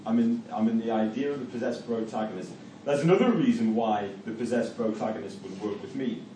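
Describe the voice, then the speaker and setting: A man's voice: on the low side, fairly even intonation, brisk, warm tone. A middle-aged man with a Geordie accent from Newcastle gives a university lecture and leads a class discussion, restarting phrases and using fillers often. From Newcastle, England. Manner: hesitant, repeats words, many fillers. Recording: echoey room from far off, faint noise.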